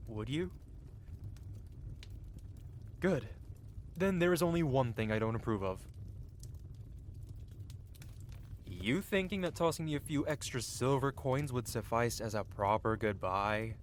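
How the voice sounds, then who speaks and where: A man's voice: medium pitch, slight background noise, close to an everyday voice. A man playing a cocky, flirty yet tender-hearted medieval blacksmith in an intimate, theatrical ASMR fantasy roleplay. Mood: worried, subdued. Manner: teasing, theatrical, with soft hesitations. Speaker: audio roleplay performer. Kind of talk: ASMR roleplay acting, intimate one-sided dialogue.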